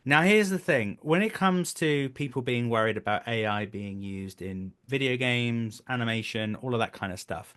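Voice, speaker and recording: A man's voice: mid-range voice, moderate pace, hardly any pauses, fairly bright tone, smooth. A man speaking as an interview guest in casual conversation, earnest and emphatic, repeating phrases for stress. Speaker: guest, voice actor.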